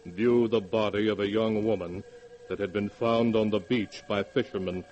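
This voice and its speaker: A man acting as a grave storyteller, narrating a 1940s radio horror tale in a dramatic, deliberate way. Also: fairly low pitch, steady tone, darkish tone, muffled recording, slight background noise, natural voice. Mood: grave, suspenseful. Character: grave storyteller of dark tales.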